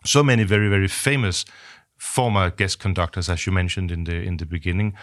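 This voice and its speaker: A man's voice: deep voice, fairly quick, fairly bright tone, dry recording, studio quality. A man hosting a podcast, asking long, rambling questions with many fillers. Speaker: podcast host. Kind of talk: interview questions.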